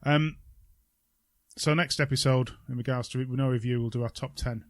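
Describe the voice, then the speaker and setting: A man's voice: medium pitch, fairly even intonation, normal speed. A man chatting casually as a podcast co-host, with a wry, bantering manner.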